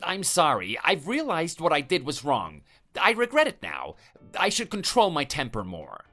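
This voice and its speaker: A man's voice: a little high, wildly swooping pitch, normal speed, pushed and bright, faint music behind, pitched above the performer's own voice, heavily acted. A man voicing a cowardly male neighbor who stammers and pleads, apologizing over and over. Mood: grovelling.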